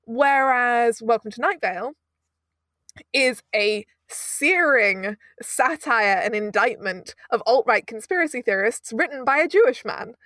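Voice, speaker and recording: A woman's voice: fairly high pitch, expressive, bright, forceful tone, no room sound. Emphatic woman, dry close sound. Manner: fast, emphatic, rhetorical asides. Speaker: audio drama producer, guest. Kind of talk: conversational interview.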